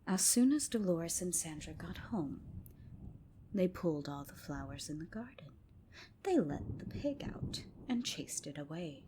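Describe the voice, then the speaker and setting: A woman narrating a folk tale as ASMR, with a soft, whispery, hushed bedtime delivery. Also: lowish voice, very animated, unhurried, a little hiss or hum, rough recording. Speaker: storyteller. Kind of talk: ASMR storytelling, scripted narration.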